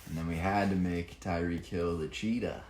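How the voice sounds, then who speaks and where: A man's voice: low voice, steady tone, moderate pace, darkish tone. A man, a children's book author, reading a rhyming picture book aloud with dramatic emphasis and informal asides. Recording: echoey room, faint background noise.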